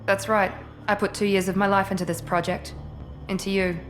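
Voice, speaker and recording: A woman's voice: mid-range voice, flat delivery, fairly quick, lightly acted. An actress playing a cool, clipped woman officer who directs a secret project, speaking in a dry, faintly condescending manner. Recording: game audio with music score.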